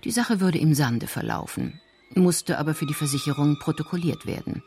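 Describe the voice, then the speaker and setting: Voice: mid-range voice, animated, normal speed, a little mellow, soft background music. A narrator reading scripted literary prose for a radio crime drama.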